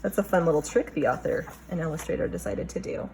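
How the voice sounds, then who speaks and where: A woman teacher reading a picture book aloud to young children, expressive, pausing to question and explain. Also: deep voice, gravelly, slight background noise, rough recording.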